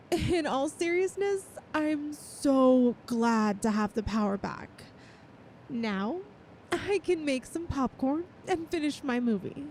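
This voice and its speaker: A woman's voice: high voice, a little mellow, a little hiss or hum. A woman voicing a playful, affectionate young lamia best friend in an intimate, chatty ASMR roleplay. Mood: cheerful, teasing. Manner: teasing, chatty, giggly. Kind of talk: ASMR roleplay, one-sided intimate conversation.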